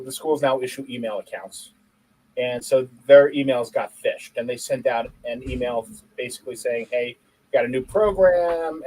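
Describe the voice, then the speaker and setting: A man co-hosting a tech podcast, chatting casually and telling a story in an animated, joking way. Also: medium pitch, rough recording. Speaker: podcast co-host. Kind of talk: casual chat.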